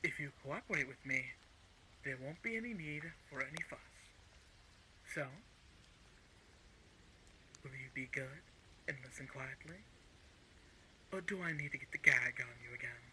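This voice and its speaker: An actor playing a smug, menacing male crime boss in an audio roleplay, speaking in a mocking, condescending and deliberate way. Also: mid-range voice, expressive, bright, forceful tone, slight background noise, rough recording. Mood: coolly menacing. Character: smug, menacing male crime-organization villain, coolly coercive. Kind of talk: scripted audio roleplay villain acting.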